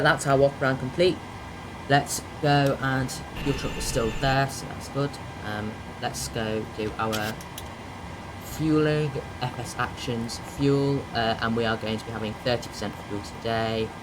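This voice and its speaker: A young man from Ireland who speaks with an English accent, rambling casually through a simulator walkaround. His pitch sits in an ordinary middle range. A loud air-conditioning and engine hum runs under every word. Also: steady tone, a little slow. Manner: chatty, filler-heavy with many ums. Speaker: flight simulator streamer.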